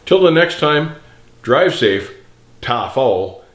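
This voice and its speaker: A man's voice: mid-range voice, big pitch swings, slow. A middle-aged man, a veteran trucker, reminiscing casually and nostalgically to viewers in a plain-spoken, folksy way. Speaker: veteran trucker and video host. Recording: roomy, echoey sound.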